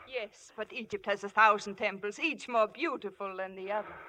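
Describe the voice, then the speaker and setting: An actress playing a passionate, pleading seductress who can turn imperious and jealous, in 1950s radio drama style. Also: female voice, medium pitch, moderate pace, pushed and bright, a little hiss or hum, natural voice, low-quality recording.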